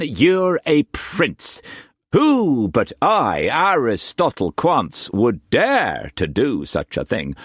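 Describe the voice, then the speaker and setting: A man's voice: on the high side, fairly bright tone, dry recording, clean studio sound, pitched above the performer's own voice, full character voice. A man voicing a flamboyant, theatrical old male painter who talks in grand exclamations. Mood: ecstatic.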